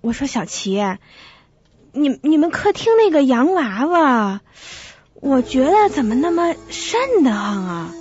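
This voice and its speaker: Radio drama role: superstitious rural housekeeper, fifties, hesitant and familiar, high voice, dry lo-fi sound actress. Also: female voice, big pitch swings, measured pace, darkish tone. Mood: uneasy, hesitant. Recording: dull lo-fi audio.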